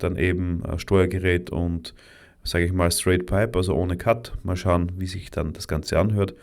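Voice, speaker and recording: An Austrian man chats casually to his viewers about his motorcycle project, with a keen, rambling manner full of fillers. His voice is low and stays fairly level in pitch. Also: darkish tone. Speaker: motorcycle vlogger. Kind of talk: casual vlog talk to viewers.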